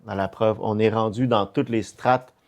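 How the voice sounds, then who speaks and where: A middle-aged man speaks in a low voice with a Québécois French accent. His podcast talk is casual and halting, with words often repeated while he looks for the next. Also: fairly even intonation. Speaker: podcast co-host or guest.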